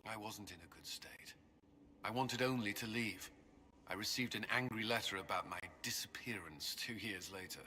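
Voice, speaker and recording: A man's voice: lowish voice, moderate pace, pushed and bright, slight background noise. An actor playing a guilt-ridden male templar commander in a video game, speaking solemnly and earnestly. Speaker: game character.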